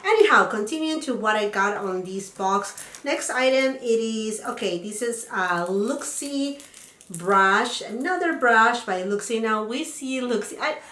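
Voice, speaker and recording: A young woman vlogger chatting through a beauty box unboxing, her voice a little raspy from a cold, enthusiastic and rambling. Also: fairly high pitch, animated, unhurried, sharp tone. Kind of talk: casual unboxing chat. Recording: echoey room.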